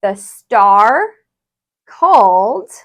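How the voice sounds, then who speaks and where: A woman tutor reading a rhyming children's book aloud, expressively and deliberately, with sing-song phrasing. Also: fairly high pitch, very animated, muffled recording.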